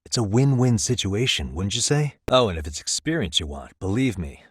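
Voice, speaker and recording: A man's voice: fairly low pitch, sing-song, fairly quick, breathy edge, no room sound. A male actor with an American accent playing a wry, easygoing private detective in a video game, talking in a dry, casual, persuasive way. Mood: persuasive, polite. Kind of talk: scripted game dialogue, conversational.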